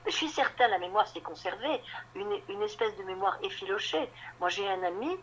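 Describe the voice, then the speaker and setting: Halting woman novelist in an interview. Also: mid-range voice, animated, a bit bright, a little hiss or hum, poor audio. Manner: halting, repeats words while searching for them. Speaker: novelist guest. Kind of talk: radio interview conversation.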